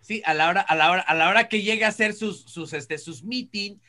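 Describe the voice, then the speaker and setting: A man's voice: sits high, fairly quick, bright, forceful tone. A middle-aged Mexican man co-hosting an entertainment show with joking, casual commentary. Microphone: video call.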